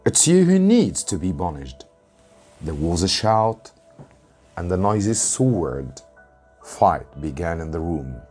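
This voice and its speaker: A man with a deep, mellow, somewhat husky voice reads a story aloud like a dramatic audiobook. His delivery is measured and deliberate, with emphasis on key moments. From Egypt. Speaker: English teacher reading a story aloud.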